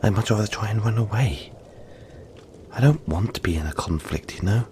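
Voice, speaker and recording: A man voicing a gentle, friendly young yeti in an intimate audio roleplay, speaking softly and reassuringly, with halting, breathy phrasing. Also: on the low side, lively intonation, slight background noise, lightly acted. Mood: friendly, earnest. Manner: soft-spoken, reassuring, halting, often breathy near-whisper.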